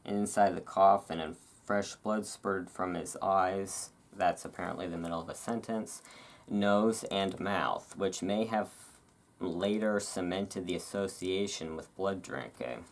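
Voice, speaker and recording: Casting: low-pitched, slow-paced reader for relaxed ASMR storytelling, with chatty, informal commentary. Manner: informal, chatty, musing asides between read passages. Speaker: host reading aloud. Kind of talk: relaxed ASMR-style reading of vampire history with casual asides.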